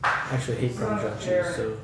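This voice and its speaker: A man's voice: lowish voice, a little fast, distant mic, lightly acted. A man playing a casual, agreeable young tag-along on a wilderness trip, in everyday skit dialogue. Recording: echoey, very noisy background chatter.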